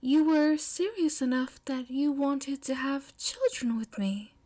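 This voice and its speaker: A woman acts out a courteous, shy teenage girl in love for a story reading. The voice is raised and lilting. She speaks softly, with hesitant, gentle politeness. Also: high-pitched, animated, a little slow, pitched above the performer's own voice. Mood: bashful. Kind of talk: audiobook-style fan fiction character reading.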